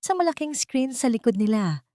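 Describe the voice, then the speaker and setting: A woman narrating a scripted dramatic story in a measured, theatrical storytelling manner. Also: fairly high pitch, sing-song, dark tone, no room sound, clean studio sound.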